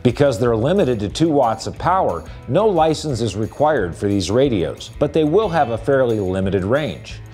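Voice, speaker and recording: A man's voice: medium pitch, big pitch swings, normal speed, few pauses, dark tone, soft background music. A man giving a scripted explainer voice-over about handheld radios as a store's product presenter.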